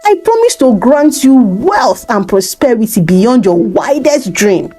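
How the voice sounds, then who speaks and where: A woman narrator plays a magical mermaid bride from a storybook folktale, proud and solemn. The voice is mellow and fairly high, and the words come slowly. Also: very animated, faint music behind. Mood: earnest promise.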